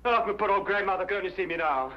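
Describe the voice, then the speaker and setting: Man playing a high, frantic music-hall showman. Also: lightly acted. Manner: excitable, repetitive, wheedling. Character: frantic, pleading music-hall escape artist accused of murder. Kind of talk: old-time detective drama acting. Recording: dull old radio recording, noisy.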